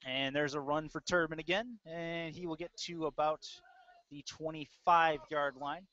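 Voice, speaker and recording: Male sports announcer, slightly high voice, live football play-by-play, muffled lo-fi stream. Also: fairly even intonation, unhurried, sharp tone. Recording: dull lo-fi web audio stream.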